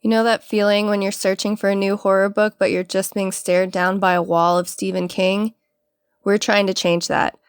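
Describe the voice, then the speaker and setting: A woman with a smooth, clear voice reads a scripted ad in an upbeat way. The recording is dry and close. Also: medium pitch, flat delivery, normal speed, no room sound. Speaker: ad announcer. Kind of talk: scripted ad read.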